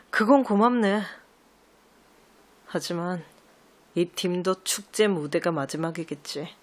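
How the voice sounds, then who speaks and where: A woman playing an insecure teenage idol trainee in an audio drama, with a soft, halting, emotional delivery. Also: on the low side, expressive. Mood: bitter, resigned. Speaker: amateur voice actor. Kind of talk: Korean audio drama acting.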